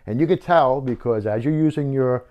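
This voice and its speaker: A man's voice: medium pitch, normal speed, highs cut off. A man in his fifties hosting a casual how-to tutorial, explaining step by step in a conversational way. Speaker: host of a how-to video channel. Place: wooden home workshop, indoors.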